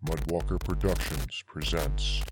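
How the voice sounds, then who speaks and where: A man's voice: very deep voice, steady tone, unhurried, low-quality recording. Ominous announcer male actor, bottom-register voice for horror audio drama intro, music underneath. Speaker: show announcer. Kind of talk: dramatic scripted warning and intro.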